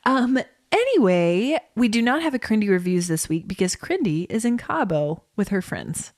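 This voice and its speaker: A woman's voice: medium pitch, very animated, moderate pace, a little mellow, dry recording, studio quality. A woman co-hosting a podcast in casual, bantering conversation. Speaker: podcast co-host.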